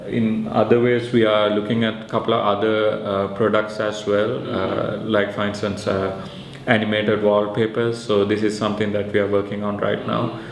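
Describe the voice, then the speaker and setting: A man in his thirties with a Sri Lankan accent, explaining his research project in an informal interview, pausing often mid-sentence. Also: on the low side, gravelly. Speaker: researcher and maker presenting a project. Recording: echoey room with faint background noise.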